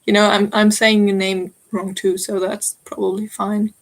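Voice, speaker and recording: A woman's voice: medium pitch, little pitch movement, normal speed. A young woman in her twenties with a German accent, hosting a live stitching stream and chatting to viewers about their comments, giggling often. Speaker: stitching channel host.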